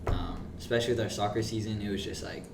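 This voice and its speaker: A man's voice: fairly low pitch, little pitch movement, quick pace. Podcast guest: male, late teens, casual slangy college athlete, recorded off-mic in a noisy room. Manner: slangy, says bro often. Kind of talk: casual chat. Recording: roomy, distant mic, loud background noise.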